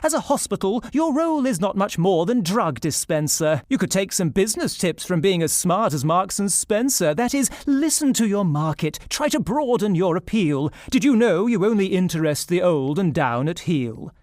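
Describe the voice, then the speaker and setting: A man's voice: sits high, big pitch swings, moderate pace, hardly any pauses, darkish tone, no room sound, clean studio sound. A male actor playing a smug, callous government spokesman, pompously reciting satirical verse in a theatrical, declamatory style. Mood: smug, grandiose.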